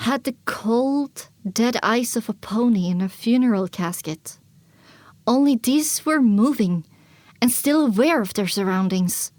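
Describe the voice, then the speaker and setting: A woman's voice: on the high side, dry recording. A woman reading scripted first-person story narration for an audio drama.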